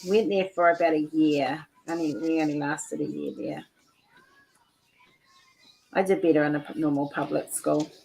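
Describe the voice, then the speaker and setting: A low-voiced woman chatting on a video call, full of restarts and "like"s as she tells stories. Her tone is relaxed and conversational. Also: moderate pace, vocal fry, long pauses, poor audio. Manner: chatty, storytelling, frequent "like" and restarts. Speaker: livestream host. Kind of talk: casual chat.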